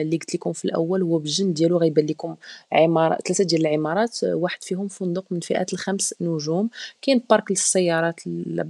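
A woman's voice: sits low, vocal fry, darkish tone, no room sound, studio quality. A woman with a Moroccan Arabic accent, chatting casually as a travel vlogger with many hesitations and fillers. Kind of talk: casual travel vlog voice-over.

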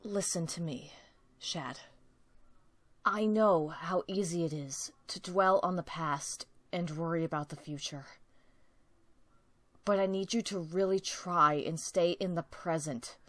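A woman voicing a cocky, energetic young male cartoon hedgehog, brash, teasing and earnest, in an amateur comic dub. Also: lowish voice, slow pace, pauses often, no echo. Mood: soft, consoling. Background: background music.

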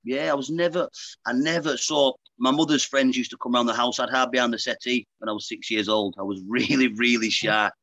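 Bright, dry-voiced Lancashire man chatting. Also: medium pitch, fairly even intonation, a little fast, creaky, dry recording, muffled recording. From Lancashire, England. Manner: chatty, frank, keeps saying "mate". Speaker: guest, pro boxer. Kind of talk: casual interview chat. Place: indoor room, video call. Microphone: earbuds on a video call.

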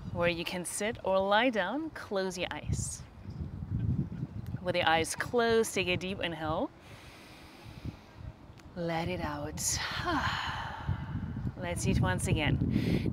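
Very slow-paced woman guiding a meditation. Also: lowish voice, background noise. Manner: unhurried, short phrases with long pauses. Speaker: meditation guide. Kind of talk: guided meditation, slow spoken instructions.

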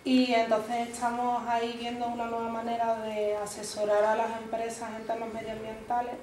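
A woman introduces herself in a steady, explanatory way. Her voice is somewhat high and flat. The room is echoey, and there is audible background noise. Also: normal speed. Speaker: environmental consultant. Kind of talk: self-introduction at a meetup.

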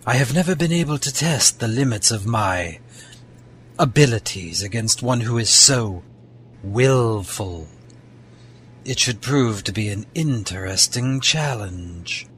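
A man playing a polite, sadistic henchman with a measured, formal manner in an audio drama. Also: mid-range voice, lively intonation, a bit bright, slight background noise. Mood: coolly deferential. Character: polite, sinister, sadistic henchman to villain lord.

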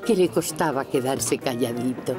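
A woman with a Castilian Spanish accent playing the gentle storyteller of a preschool cartoon. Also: a bass voice, moderate pace. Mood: gentle storytelling. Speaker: narrator. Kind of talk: scripted children's cartoon narration. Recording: music behind the voice.

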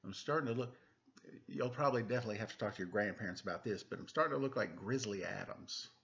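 A man's voice: lowish voice, fast, creaky, a bit bright. A man in his forties hosting a children's storytime at home, reading a picture book with playful, chatty asides. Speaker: storytime host and reader. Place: room at home. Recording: dull lo-fi webcam sound.

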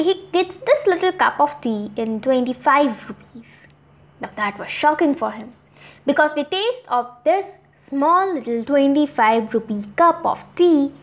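A woman in her twenties with an Indian accent tells a children's moral tale. Her voice is high, and she goes slowly and deliberately. She is expressive, leaving dramatic pauses between phrases. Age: 20s. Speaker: storyteller, host. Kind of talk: moral story narration for children.

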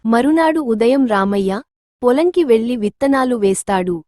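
A woman's voice: on the high side, expressive, moderate pace, warm tone, dry recording, studio quality. A woman reading scripted narration for a moral story.

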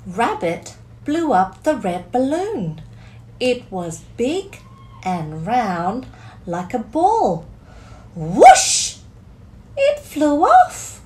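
A woman in her thirties reading a picture book aloud to children, expressive and deliberate, drawing out key words in a small room. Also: a little high, slight background noise. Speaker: storyteller, teacher reading to children. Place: small curtained room indoors.

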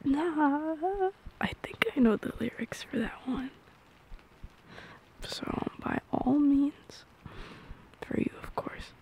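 High, slow-paced woman in intimate soft ASMR. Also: animated, slight background noise. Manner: playful, intimate, often whispered. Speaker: ASMR roleplay performer. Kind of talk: ASMR girlfriend roleplay, soft singing and whispering.

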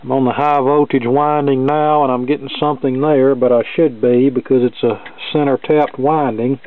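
Even-toned man, narrow phone-like sound. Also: mid-range voice, normal speed. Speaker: hobbyist radio repair demonstrator. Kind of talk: hands-on repair walkthrough, talking through steps. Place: indoor cluttered electronics workbench.

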